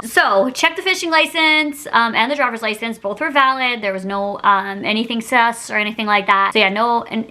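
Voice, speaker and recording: Casting: female vlogger, bright forward voice, informal slangy storytime delivery, animated and chatty. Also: mid-range voice, gravelly. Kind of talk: casual storytime chat to camera.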